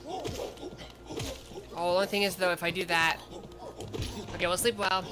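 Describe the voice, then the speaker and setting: A man streaming a game, chatting casually in a rambling, self-correcting way, with sudden loud outbursts at the game. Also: high-pitched, normal speed. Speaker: vtuber streamer. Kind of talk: casual gameplay chat. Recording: game music playing behind.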